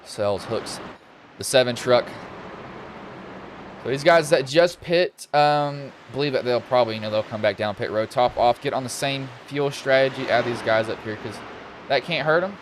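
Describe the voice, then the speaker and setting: A young man with a slightly deep voice commentating a sim truck race live, casual and rambling, getting excited when cars wreck. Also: moderate pace, a little hiss or hum. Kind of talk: live sim racing play-by-play.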